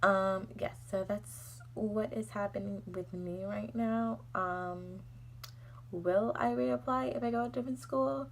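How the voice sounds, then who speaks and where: A woman's voice: medium pitch, measured pace, slight background noise. A young woman telling a personal story in a casual, exasperated, rambling vlog style. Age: young adult. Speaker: vlogger.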